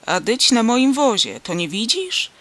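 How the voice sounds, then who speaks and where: A storybook reader voicing a gruff, irritable, suspicious peasant man who scolds in rustic, exclamatory speech. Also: medium pitch, sing-song, a little slow, sharp tone, slight background noise, voice pushed up, exaggerated voice.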